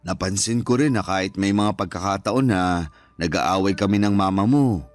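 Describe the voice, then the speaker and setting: A man's voice: low voice, moderate pace, natural voice. Man acting devoted Filipino father over music. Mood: reassuring, earnest. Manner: affectionate, encouraging, conversational. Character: devoted, reassuring middle-aged father of a college-age daughter. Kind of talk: Filipino radio drama acting.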